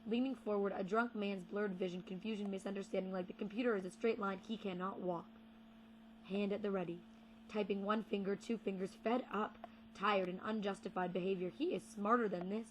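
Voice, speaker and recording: A young woman performing spoken word poetry with deliberate, rhythmic phrasing and repeated words. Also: medium pitch, steady tone, a little hiss or hum, low-quality recording. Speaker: slam poet.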